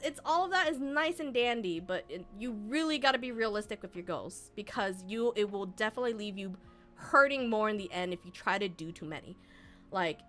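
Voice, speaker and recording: A young woman streamer, Vietnamese by first language, talks to her chat in a bright, slightly high voice. She gives earnest, rambling advice, stopping to restart her sentences, while faint music plays behind her.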